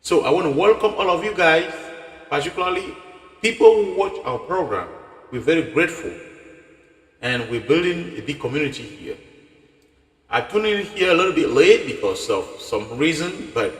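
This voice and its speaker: A man's voice: on the high side, expressive, moderate pace, fairly bright tone, roomy sound, big-room sound, rough recording. A man hosting a live forex trading stream, speaking English as a later language after his own dialect. He rambles, repeats himself and breaks off to restart sentences. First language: a local dialect; English and French are later languages. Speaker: forex trading channel host.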